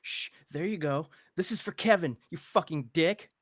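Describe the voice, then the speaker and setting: Man acting a high-pitched battered young horror survivor. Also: normal speed, dry recording, close to an everyday voice. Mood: calm, vengeful. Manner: hushed taunting. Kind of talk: amateur screenplay table read.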